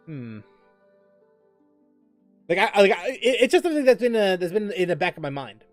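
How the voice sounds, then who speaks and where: A man's voice: high voice, very animated, normal speed, no room sound, soft background music. A man casually chatting while streaming a game, rambling with lots of fillers and restarts as he reads chat aloud.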